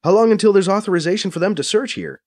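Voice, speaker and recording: A man's voice: high voice, fast, a little mellow, no echo, pitched above the performer's own voice, natural voice. A man voicing a wary male fugitive who hesitates and stammers, in an audiobook. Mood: uneasy.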